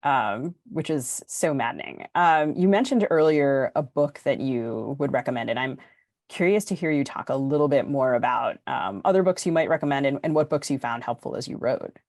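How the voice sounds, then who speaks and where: A woman hosting a podcast, talking casually about books in a halting way with lots of filler words. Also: rumbling low, expressive, darkish tone, no room sound, studio quality. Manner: halting, filler-heavy, frequent "like". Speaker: podcast host. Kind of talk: casual literary discussion.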